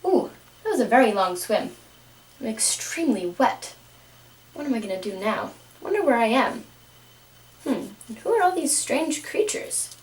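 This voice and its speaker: A fretful young girl played by a woman chatters her worries aloud in a very high, animated voice. She is polite and unsure, a puppet-show heroine picked up from a little distance. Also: moderate pace, pauses often. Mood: wistful. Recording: roomy, distant home microphone.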